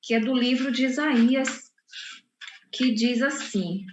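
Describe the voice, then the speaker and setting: A woman's voice: on the high side, slight background noise. A woman from Salvador, a poet and professor, speaking unhurriedly at an online book launch and often restarting her sentences. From Salvador, Bahia, Brazil. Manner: unhurried, with frequent restarts and fillers. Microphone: video call. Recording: muffled, roomy video call audio.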